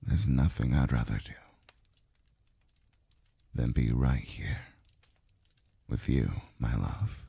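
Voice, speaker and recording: A man playing a calm, devoted male lover in an intimate ASMR roleplay, murmuring softly and slowly, close to the mic. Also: no room sound, heavily acted. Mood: loving, grateful. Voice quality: very deep, breathy, gravelly. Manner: slow, soft murmuring with sighs. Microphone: close mic, dry sound.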